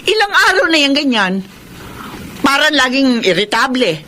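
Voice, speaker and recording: A woman's voice: sits high, big pitch swings, pushed and bright, a little hiss or hum, heavily acted. A Filipino actress playing an exasperated, nagging woman caring for her bedridden fiancé in a radio drama. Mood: exasperated, scolding. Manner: nagging, scolding, quick to fuss.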